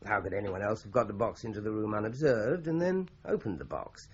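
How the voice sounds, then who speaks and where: British police inspector, lowish voice, lively intonation, polite, methodical, 1980s radio crime drama, muffled recording. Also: male voice, slightly rough. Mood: calm, purposeful. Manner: polite, measured, probing. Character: male Scotland Yard police inspector, courteous and methodical.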